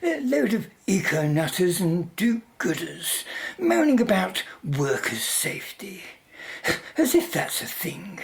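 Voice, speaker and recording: A woman's voice: fairly low pitch, vocal fry, heavily acted. A woman playing a callous, smug, greedy mining magnate in a radio drama, with a British accent and a haughty drawl.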